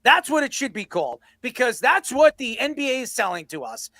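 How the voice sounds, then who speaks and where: A man's voice: very high voice, big pitch swings, brisk, bright, forceful tone, no echo, studio quality. A man hosting a sports debate show, blunt and combative, given to ranting and swearing.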